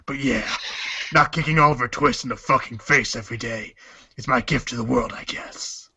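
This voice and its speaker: A man playing a cocky, foul-mouthed teenage boy with a deadpan, slangy, sarcastic delivery and husky voice in a storybook fan dub. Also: medium pitch, normal speed, muffled recording, exaggerated voice. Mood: sardonic, cocky.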